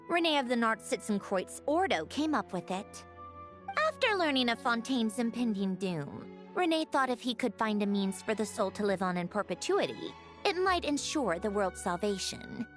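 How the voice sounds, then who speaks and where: A woman's voice: a little high, sing-song, normal speed, fairly bright tone, soft background music. An actress voicing a self-assured, clever young woman in a video game, explaining things in a confident, dryly teasing way. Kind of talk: game voice acting.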